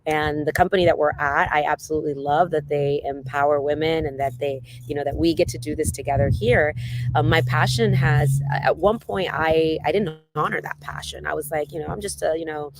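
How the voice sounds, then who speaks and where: A man's voice: a little high, fairly even intonation, a little fast, vocal fry, rough recording. A man giving a motivational talk in an effusive, heartfelt way, full of "you know".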